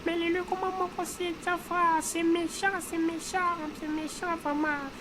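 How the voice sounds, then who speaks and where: A woman's voice: extremely high pitch, level tone, a little slow, background noise. A woman with a Congolese accent playing a talkative woman in a cartoon. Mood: exasperated. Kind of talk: animated cartoon dialogue in Lingala and French.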